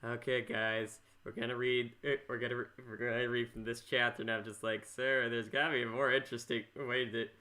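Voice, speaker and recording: A man's voice: mid-range voice, level tone, brisk, hardly any pauses, pushed and bright. A man hosting a podcast in casual interview chat, often stammering and repeating the starts of his phrases. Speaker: podcast host.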